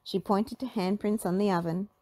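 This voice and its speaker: A woman narrating a children's storybook in an animated read-aloud style. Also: medium pitch, moderate pace, no room sound.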